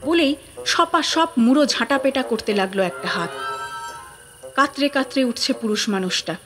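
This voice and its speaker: A woman's voice: high voice, lively intonation, normal speed, music in the background. A woman narrating a scripted story in a dramatic storytelling style.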